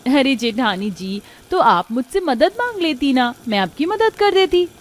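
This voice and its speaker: Woman as high, pleading young daughter-in-law. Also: sing-song, measured pace. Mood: eager, helpful. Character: polite, caring younger daughter-in-law, anxious.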